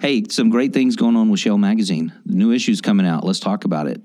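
A man co-hosting a radio talk show with a raspy, strained voice, friendly and jokey as he steers the conversation. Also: on the low side, fast talker, dark tone.

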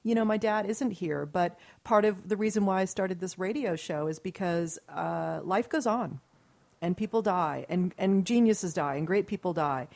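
A woman hosting a radio talk show, chatting casually with a guest. She speaks earnestly and rambles, often restarting her sentences. Her voice sits a little low for a woman. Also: moderate pace, creaky, darkish tone.

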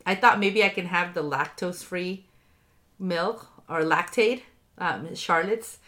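A woman's voice: fairly low pitch, unhurried, fairly bright tone. Congested lady on a podcast, swears a lot, ums and likes everywhere, just chatting. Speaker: podcast co-host recapping a reality show. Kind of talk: casual chat.

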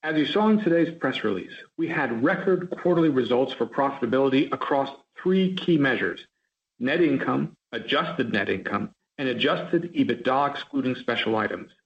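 Man, gravelly fry, executive presenting earnings, formal and measured, slightly muffled conference call sound. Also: medium pitch, lively intonation. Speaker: company chief executive.

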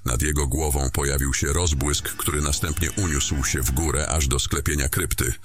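Extremely deep-voiced male fantasy narrator. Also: fairly even intonation, slight background noise. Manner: descriptive, deliberate storytelling. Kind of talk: scripted fantasy story narration.

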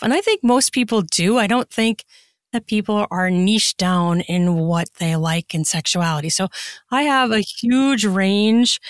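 A woman's voice: medium pitch, dry recording, studio quality. A woman talking casually and chattily as an interview guest, expanding freely on her answers. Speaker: podcast guest, erotica author and audiobook narrator.

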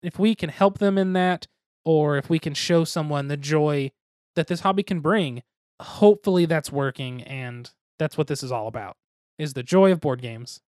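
A man's voice: fairly high pitch, moderate pace, darkish tone, no echo, clean studio sound. A young man co-hosting a board game podcast, talking casually in a rambling, self-deprecating, jokey way. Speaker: podcast co-host.

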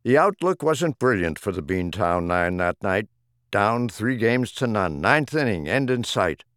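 Animated man reciting rhyming verse. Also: on the low side, a little slow, no echo. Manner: theatrical, rhythmic verse delivery. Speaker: audiobook reader. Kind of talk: scripted reading of humorous rhyming poems.

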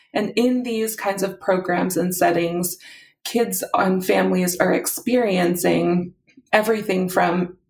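A woman, a health policy advisor guesting on a podcast, explaining her points in a steady, methodical way. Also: mid-range voice, creaky, low-quality recording.

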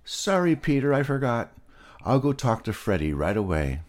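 A man voicing a gentle, absent-minded male pig who talks in a mild, wondering way. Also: medium pitch, very animated, normal speed, voice pushed up, lightly acted. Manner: gentle, dreamy.

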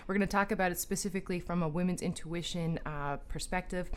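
A woman's voice: fairly low pitch, fairly even intonation, fast, slight background noise. A woman hosting a talk show, speaking in an enthusiastic, rambling way with many fillers and restarts. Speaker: podcast host. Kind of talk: casual spiritual talk, guided breathing.

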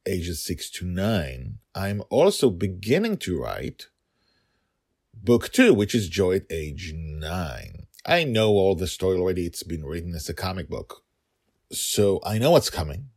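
Man, gravelly tone, dry close sound, rambling emphatic monologue, writer hosting his podcast. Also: very deep voice, darkish tone, no room sound. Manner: rambling, emphatic, thinking aloud.

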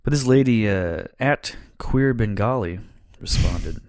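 A man's voice: lowish voice, expressive, unhurried, a little mellow, no room sound, studio quality. A man co-hosting a podcast, chatting casually with crude, sarcastic humor and frequent laughter. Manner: sarcastic, profane, laughing often. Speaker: podcast co-host.

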